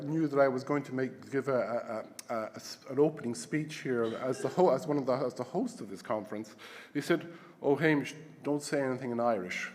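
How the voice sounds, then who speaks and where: A Scottish man giving a formal opening welcome as conference host, with halting restarts and repeated words. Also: medium pitch. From Scotland. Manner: hesitant, repeats words while searching. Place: large conference hall. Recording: long hall reverb.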